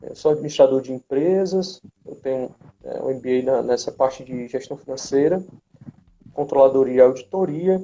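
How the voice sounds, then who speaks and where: A man's voice: medium pitch, moderate pace, dark tone. A man, hosting a casual live chat in an informal, hesitant way on lo-fi audio. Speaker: host, business administrator. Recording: dull lo-fi live stream audio.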